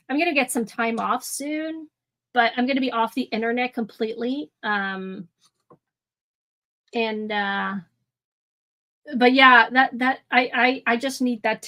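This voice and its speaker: A woman hosting an art livestream, chatting casually with viewers, rambling with lots of ums and restarts and easy laughs. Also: on the high side. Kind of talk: casual chat with live chat. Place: home room. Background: soft music.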